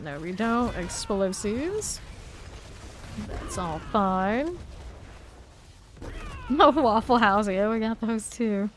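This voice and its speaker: A woman's voice: medium pitch, a little hiss or hum. Brief: rambling, laughing woman game streamer, ordinary mid-range voice, chatty aside while she plays. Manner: chatty, rambling, laughs easily between callouts. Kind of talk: casual chat while playing a game live.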